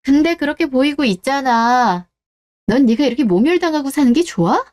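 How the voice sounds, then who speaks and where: Radio drama wife, played by a woman: cold, sarcastic, unyielding, fairly high voice, standing her ground. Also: expressive, normal speed, darkish tone, slight background noise. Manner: firm, sarcastic. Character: cold, resolute, fed-up wife standing up for herself. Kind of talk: melodramatic radio drama dialogue.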